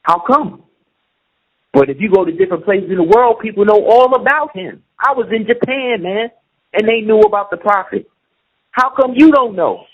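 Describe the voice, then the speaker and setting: A man's voice: high voice, lively intonation, moderate pace. A middle-aged man talking in a casual conversation, emphatic and often asking if the listener follows him. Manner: emphatic, keeps asking "you see what I'm saying". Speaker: guest. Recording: muffled lo-fi audio.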